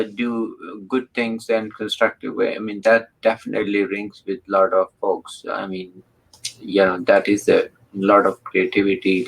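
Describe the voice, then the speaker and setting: A man hosting an interview, speaking slowly and haltingly with many fillers. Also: lowish voice, gravelly, fairly bright tone, rough recording. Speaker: interview host. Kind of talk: casual interview talk.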